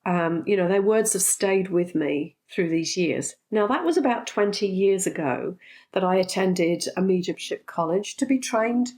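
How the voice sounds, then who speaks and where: A middle-aged woman talks straight to her viewers in a relaxed, candid way, pausing on frequent ums. Her voice is stuffy and congested from a recent cold. Her pitch sits in an ordinary middle range. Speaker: spiritual teacher and vlogger. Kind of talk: casual talk to camera.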